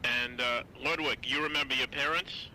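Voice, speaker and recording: A man leads a family interview in a very bright, forceful voice. He asks short questions and echoes the answers back before moving on. Faint noise hums behind him. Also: mid-range voice, fairly even intonation, normal speed.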